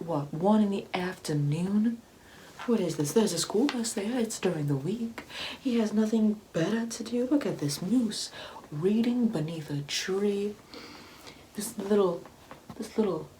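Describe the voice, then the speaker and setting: A woman playing a judgmental, devout, entitled Karen mother in an ASMR comedy roleplay, preachy and sassy, with whispered asides and drawn-out emphasis. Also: on the low side, a little mellow, a little hiss or hum, lightly acted, low-quality recording.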